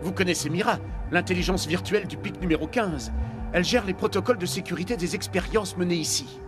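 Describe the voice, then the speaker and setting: An actor playing a troubled, guarded research scientist in a video game, formal and defensive in manner. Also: male voice, high voice, fast talker, over music, close to an everyday voice. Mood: distracted, guarded. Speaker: doctor, scientist.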